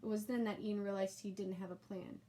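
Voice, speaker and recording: A woman narrating a children's storybook aloud in an animated, expressive and emphatic way. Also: medium pitch, a little fast.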